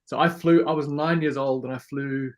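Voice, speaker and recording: Relaxed South African-born man, plenty of ums. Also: medium pitch, moderate pace. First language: Afrikaans. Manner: relaxed and friendly, many fillers. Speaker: podcast guest. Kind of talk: casual interview chat.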